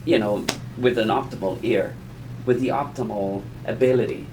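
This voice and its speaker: A man giving an informal conversational lesson as an explainer, in a halting, rambling way with self-repetition. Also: on the low side, noisy background. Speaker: audio explainer teaching beginners.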